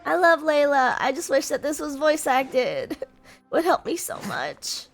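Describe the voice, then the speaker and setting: A young woman playing a video game and chatting to viewers, sick with a stuffy nose and a hoarse voice. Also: high voice, sing-song, unhurried, soft background music. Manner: apologetic, bubbly chatter with laughs. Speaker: gaming YouTuber. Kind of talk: let's-play commentary.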